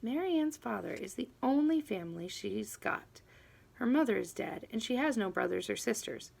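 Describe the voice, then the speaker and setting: Animated woman reading a children's novel aloud. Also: fairly high pitch, normal speed, creaky, slightly rough. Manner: animated, dramatic emphasis on key words. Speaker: audiobook reader. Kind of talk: expressive children's book narration.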